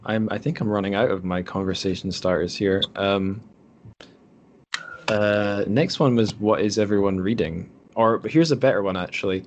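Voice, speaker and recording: A man's voice: on the low side, moderate pace, background noise. A man hosting a casual podcast conversation, thinking aloud a little hesitantly. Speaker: podcast host.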